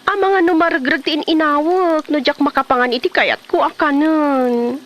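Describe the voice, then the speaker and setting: A woman's voice: high-pitched, steady tone, normal speed, a bit bright. A woman playing a plaintive pregnant wife who is fed up with her lazy husband in a comedy drama. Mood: pleading.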